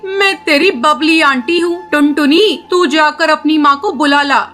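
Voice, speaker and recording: A woman's voice: sits high, measured pace, sharp tone. A woman voicing a chatty, motherly middle-aged pigeon aunt in a children's cartoon, affectionate and forthright. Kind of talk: Hindi children's cartoon voice acting.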